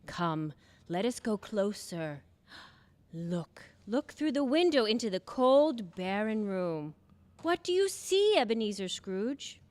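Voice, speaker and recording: Woman as slow, stately female spirit. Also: medium pitch, big pitch swings, a little mellow. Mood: gently guiding. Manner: stately, deliberate. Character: solemn, otherworldly female spirit guide of Christmas past. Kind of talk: old-time radio drama acting.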